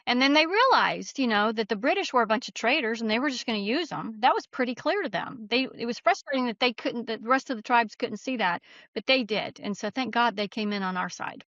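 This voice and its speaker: Higher-pitched woman, slightly dull recording. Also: lively intonation, fairly quick, a bit bright, dry recording. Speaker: guest author being interviewed. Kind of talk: conversational interview answers, storytelling.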